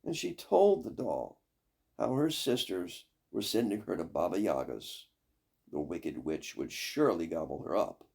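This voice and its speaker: An older man reading a fairy tale aloud as a storyteller, with expressive, deliberate, dramatic phrasing. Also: on the low side, vocal fry, breathy edge.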